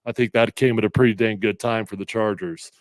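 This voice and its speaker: A middle-aged man hosting casual sports talk, a little congested, energetic and emphatic as he reads comments and makes predictions. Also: on the low side, brisk, no room sound, studio quality. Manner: energetic, conversational, emphatic with fillers. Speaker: sports radio host previewing a game.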